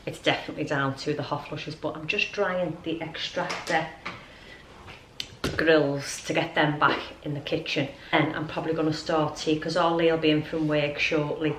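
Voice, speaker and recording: A woman's voice: sits low, steady tone, moderate pace, background noise. A middle-aged woman chatting informally and ramblingly to camera as a lifestyle vlogger. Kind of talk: casual chatty vlog to camera. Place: at home.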